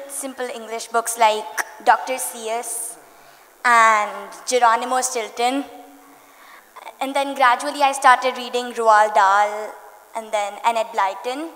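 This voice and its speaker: A teenage girl speaking earnestly with small hesitations. Her voice is high, and it rings with a long hall reverb. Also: fairly bright tone. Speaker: young poet on a panel. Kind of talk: panel discussion answer. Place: literary festival stage. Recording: echoey hall.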